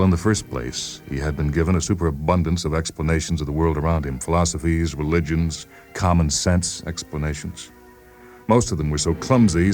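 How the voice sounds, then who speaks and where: A man narrating a literary short story in a deliberate, brooding way with dramatic pauses. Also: low-pitched, darkish tone. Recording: music behind the voice. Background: music.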